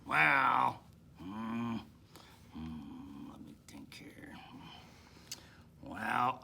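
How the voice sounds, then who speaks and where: A man discusses a book with scholarly deliberation. His voice is piercingly bright and gravelly, with faint noise behind him. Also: mid-range voice. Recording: noticeable background noise and ambience.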